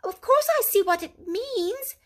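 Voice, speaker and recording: A woman voicing a small, fussy male mole who complains in a high, whining, crying tone. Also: lively intonation, a little slow. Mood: grumbling. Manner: pettish, complaining, exasperated.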